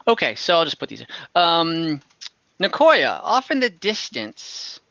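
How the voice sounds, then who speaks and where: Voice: mid-range voice, bright, forceful tone, dry recording, highs cut off. A game master running an online fantasy tabletop game, improvising narration and thinking aloud in a casual, easygoing way. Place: online voice call.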